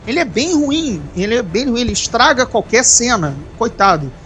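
A podcast host and novelist with a Brazilian accent, chatting casually, animated and quick to swear, often restarting sentences. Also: mid-range voice. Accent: Brazilian Portuguese. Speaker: author of a novel.

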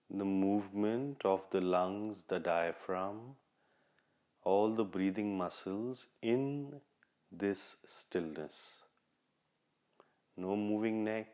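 Guy guiding a meditation, fairly low voice, really slow, short repeated instructions w long gaps. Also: darkish tone. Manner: measured, instructional, repetitive short phrases with long pauses. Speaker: meditation guide, podcast host. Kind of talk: guided meditation.

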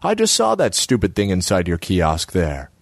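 Man voicing haughty young female artist, high, preachy, dry close sound. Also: huge pitch swings, moderate pace, gravelly, no echo, exaggerated voice. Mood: haughty lecturing. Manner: scornful, lecturing, self-important.

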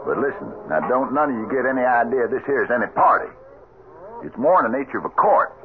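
A man acts an authoritative cattle boss in an old radio western. His Western American speech is plain and commanding, swinging widely in pitch, over a thin, faintly hissy recording. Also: big pitch swings, fast, narrow, tinny audio, lightly acted. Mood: stern, commanding. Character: firm, plain-spoken cattle trail boss.

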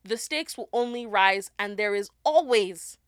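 A young woman co-hosting a casual podcast, animated and laughing often as she gives advice point by point. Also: medium pitch, a little slow, smooth, dry recording, clean studio sound. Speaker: podcast co-host. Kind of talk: casual chat.

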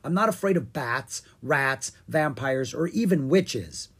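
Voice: medium pitch, expressive, measured pace, fairly bright tone, husky. A storybook reader voicing a young girl dragon who is cautious and matter-of-fact. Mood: nervous, hushed. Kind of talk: animated children's storybook character reading.

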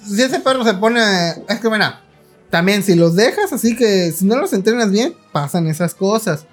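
A man hosting a podcast in casual chat, with a Mexican Spanish accent, slangy and quick to laugh as he reads comments. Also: sits high, lively intonation, faint music behind. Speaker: podcast host.

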